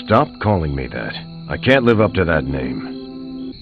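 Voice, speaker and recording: A man voicing a gruff, self-doubting superhero in a video game, with a gravelly voice. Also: a bass voice, very animated, measured pace, full character voice. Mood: gruff, troubled. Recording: thin narrow-band game audio with music.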